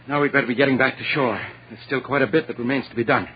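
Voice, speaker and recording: An actor playing a cold, scheming lover covering up a murder in 1940s radio drama style, commanding and calculating. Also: male voice, mid-range voice, fairly even intonation, rapid-fire, loud music behind the voice, natural voice. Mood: urgent, straining. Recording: muffled, noisy vintage 1940s radio recording.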